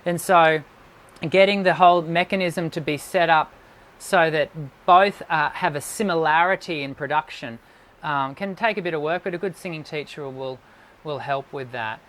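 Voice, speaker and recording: Man, voice teacher, hosting a live stream, calm and measured, reading out questions. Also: a little high. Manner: measured, reads viewer questions aloud. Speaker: host and voice teacher.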